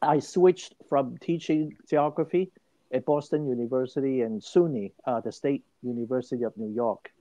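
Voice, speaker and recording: An older man from Hong Kong tells his story at length, with many restarts, and he grows more animated as he goes. His voice is mellow and dark, recorded dry with a slightly muffled sound. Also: medium pitch, moderate pace, dry recording. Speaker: guest. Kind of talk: interview storytelling.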